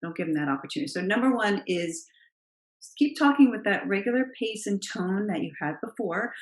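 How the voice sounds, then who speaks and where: A middle-aged woman with a naturally low voice, giving emphatic, expressive advice as a confidence coach straight to camera. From Italian heritage. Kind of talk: direct-to-camera advice vlog. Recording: roomy, echoey room sound.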